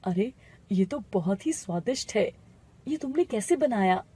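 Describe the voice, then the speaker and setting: A woman voicing a humble, worried poor farmer, plaintive and earnest, in an animated moral story. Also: mid-range voice, unhurried, breathy edge. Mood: amazed.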